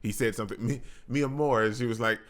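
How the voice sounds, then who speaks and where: A man's voice: mid-range voice, steady tone, fast. A man chatting casually as a movie reviewer on his own channel, in a colloquial, slangy and enthusiastic way. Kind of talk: casual video review chat.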